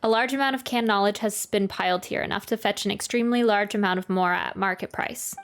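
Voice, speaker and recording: A woman's voice: medium pitch, fast. A woman doing casual gameplay commentary as a streamer, musing playfully and reading game text aloud. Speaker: gaming streamer. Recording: voice over game music. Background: game music.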